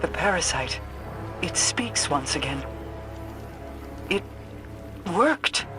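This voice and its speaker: A woman voicing a regal, secretive queen in a video game, speaking in a measured, formal, commanding way over loud orchestral music. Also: sits low, sing-song, full character voice. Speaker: queen guiding the player. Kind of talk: video game cutscene dialogue. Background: loud orchestral game score.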